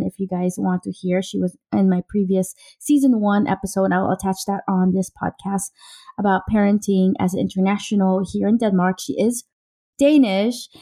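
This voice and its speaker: A woman with a mellow, warm voice hosts a podcast in a chatty, upbeat way. She often restarts her sentences as she talks, which gives the conversation a loose, casual feel. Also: medium pitch. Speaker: podcast host. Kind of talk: casual interview chat.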